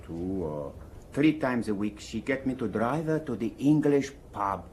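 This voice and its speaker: A humble Italian chauffeur in a radio play, acted by a man. He speaks with an Italian accent in a mid-pitched, lively, swinging voice, hesitant and courteous. Faint noise sits behind him. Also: unhurried, lightly acted. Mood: polite, guarded. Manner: hesitant, broken grammar, polite. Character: deferential, loyal Italian chauffeur. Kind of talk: radio drama acting.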